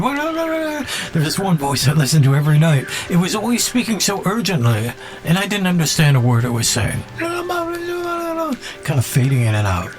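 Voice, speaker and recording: A man speaks as a podcast host in an unscripted, reflective monologue. His delivery is halting and musing, with words repeated for emphasis, and prominent music plays along behind his voice. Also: mid-range voice.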